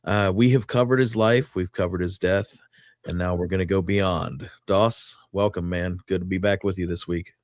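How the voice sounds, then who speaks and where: Man, low voice, has a cold, talk show host, casual discussion, dry close sound. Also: fairly even intonation, normal speed, dry recording.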